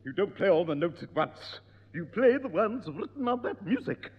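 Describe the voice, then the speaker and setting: A man's voice: high voice, big pitch swings, moderate pace, slightly rough, full character voice. An actor playing a prim, exasperated piano teacher who scolds his pupil. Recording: dull echoey old radio recording.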